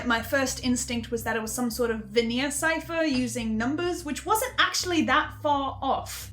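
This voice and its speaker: A woman playing a hesitant, hungry archivist in an audio drama, speaking haltingly with small stammers. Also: fairly high pitch, fairly bright tone, close to an everyday voice. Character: hesitant, hungry marked archivist recording a podcast reaction.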